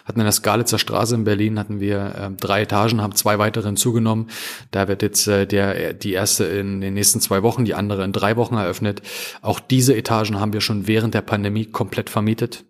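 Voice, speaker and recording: Guy talking fast in an interview, kinda low voice, lots of umms and restarts. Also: level tone. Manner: quick, with many fillers and restarts. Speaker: coworking company executive. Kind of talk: casual interview talk.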